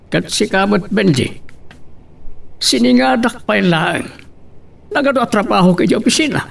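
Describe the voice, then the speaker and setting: A man's voice: sits high, expressive, normal speed, gravelly, distant mic, full character voice. A man acting a middle-aged wealthy businessman and father in a Filipino radio drama, brusque and commanding, with a Filipino accent. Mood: firm, reassuring.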